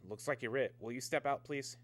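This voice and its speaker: An actor in a radio drama playing a businesslike security officer, clipped and matter-of-fact. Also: male voice, mid-range voice, fairly quick, background noise, close to an everyday voice. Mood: urgent. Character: businesslike male security officer at atomic research camp.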